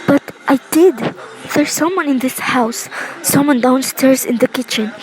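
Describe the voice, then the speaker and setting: A woman's voice: sits high, unhurried, a little mellow, rough recording. A woman acting in a radio play as a nervous, demanding invalid wife, agitated and pleading. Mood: terrified, frantic. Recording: faint background noise.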